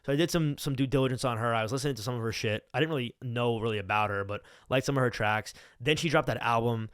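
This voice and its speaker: A man's voice: medium pitch, fast talker, a bit bright, clean tone, dry recording, studio quality. A young man hosting a casual podcast, rambling about music in a slangy, profane, hyped-up fan's way. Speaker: podcast host. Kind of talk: casual unscripted music chat. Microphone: close podcast microphone.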